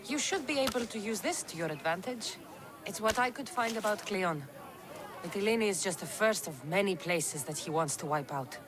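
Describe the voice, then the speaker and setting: An actress playing a bold, determined ancient mercenary in a video game, speaking directly. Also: female voice, mid-range voice, lively intonation, moderate pace, crowd noise, lightly acted. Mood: resolute. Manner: direct, resolute.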